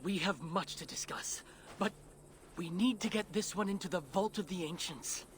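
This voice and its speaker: An actor playing an older dwarf smith, learned and earnest, speaking formally and with nervous deference in a video game. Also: male voice, high-pitched, moderate pace, a little hiss or hum, lightly acted. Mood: earnest, grave. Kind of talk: video game cutscene acting.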